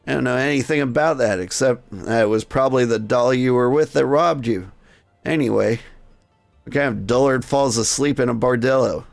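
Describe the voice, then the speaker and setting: A man voicing a brisk, haughty Victorian madam in a game story, sharp, businesslike and impatient. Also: mid-range voice, vocal fry, dry recording, faint music behind, close to an everyday voice. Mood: indignant, dismissive.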